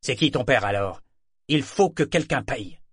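A small, cruel slave broker with a gravelly voice and a sneering, falsely polite manner, as a man reads him. He talks fast and rather loudly in a mid-pitched voice. The storybook recording is dry and close. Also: lively intonation, no echo. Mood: mocking. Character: small agile male slave broker, mock-affable and cruel. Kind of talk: audiobook character reading.

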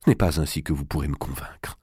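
A man reading an audiobook, voicing a pious, gentle young queen who speaks softly and with quiet firmness. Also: a bass voice, quick pace, slightly rough, dry recording, studio quality, voice pushed down, full character voice. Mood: hushed, firm. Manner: soft, hushed, restrained.

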